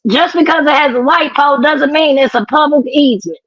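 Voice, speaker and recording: Lady on a call, high voice, cussing and scolding, kinda muffled lo-fi sound. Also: a little fast, fairly bright tone, no room sound. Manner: blunt, scolding, heavy swearing. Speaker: guest caller. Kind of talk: casual, heated commentary. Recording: dull lo-fi call audio.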